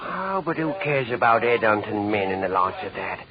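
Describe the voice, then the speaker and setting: A man's voice: fairly low pitch, expressive, moderate pace, close to an everyday voice. A man acting a bored, plain-spoken audience member griping colloquially in an old-time radio drama. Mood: bored, grumbling. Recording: dull old radio recording, loud crowd.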